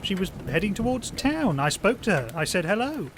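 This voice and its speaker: A man's voice: high-pitched, very animated, moderate pace, background noise. An actor in an audio drama playing an earnest, mild-mannered man who speaks plainly and insistently.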